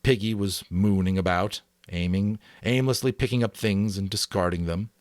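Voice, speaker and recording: A man giving a dramatic audiobook reading, narrating in an expressive storytelling manner. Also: on the low side, moderate pace, no room sound, studio quality.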